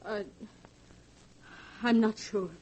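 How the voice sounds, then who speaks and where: Determined, mid-pitched young woman. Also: steady tone, drawn-out words, a little mellow, muffled recording. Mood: anxious. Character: determined, accusing young woman, loyal friend. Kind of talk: 1940s radio acting.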